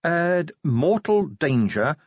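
A man voicing a calm, dutiful female police sergeant in a storybook, deferential and level-headed. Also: fairly high pitch, takes it slowly, no echo, dull audio, voice pushed up, full character voice. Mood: matter-of-fact.

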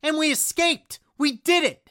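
A woman playing a gushing, excitable young adventurer who retells her story in an audio drama with gleeful exclamations. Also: sits high, moderate pace, no echo, clean studio sound. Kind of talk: audio drama narration in character.

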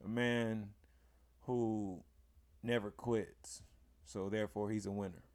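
Hoarse male podcast host, unhurried, excitable hype talk. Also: on the low side. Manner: hype, emphatic, bursts into loud exclamations. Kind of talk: casual podcast chat.

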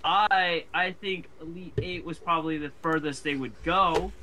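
A man's voice: on the high side, steady tone, moderate pace, a loud crowd. A man co-hosting a sports podcast, stammering a little as he defends his pick. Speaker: podcast co-host. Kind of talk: casual sports chat.

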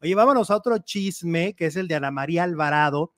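A man co-hosting a casual gossip show, animated and chatty as he reads out and comments on news items. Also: fairly high pitch, fairly quick, no room sound, studio quality.

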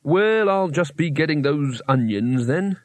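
A man's voice: medium pitch, expressive, moderate pace, no echo, lightly acted. A man voicing a hapless, cynical wizard in a storybook, speaking with hesitant, wary politeness and a sardonic edge. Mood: careful, nervous. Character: hapless, anxious, cynical male wizard adventurer.

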